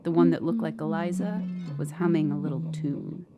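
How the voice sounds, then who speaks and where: A woman's voice: low voice, steady tone, normal speed, close to an everyday voice. An actress playing a brooding, insecure woman who narrates her troubled romance as an intimate, bitter, haunted ghost story monologue. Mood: bleak, bitter. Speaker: narrator and lead character of a horror audio drama. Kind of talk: dramatic first-person ghost story monologue. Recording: music behind the voice. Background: musical underscore.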